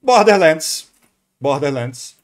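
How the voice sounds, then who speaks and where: A man's voice: a little high, sing-song, takes it slowly. A man with a Brazilian accent giving casual live commentary as a reaction streamer, rambling and thinking aloud in slang.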